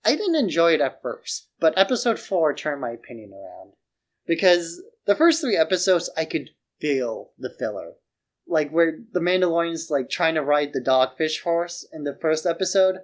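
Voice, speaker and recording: A man's voice: a little high, sing-song, moderate pace. A man co-hosting a podcast, chatting informally and arguing his opinions with loose, colloquial phrasing. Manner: informal, frequent "like" while arguing points. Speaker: podcast co-host. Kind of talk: casual opinionated chat.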